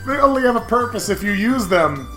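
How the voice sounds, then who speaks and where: A man hosting a let's play, giving excitable, joking commentary on a game. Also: extremely high pitch, brisk, loud music behind the voice. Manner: excitable, laughs often. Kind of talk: joking game commentary with dialogue read aloud.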